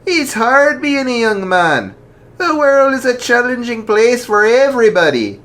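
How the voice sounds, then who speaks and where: A storyteller voicing a tall, jolly older archbishop who speaks kindly and gently, pitched far above their own voice. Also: male voice, big pitch swings, unhurried, full character voice.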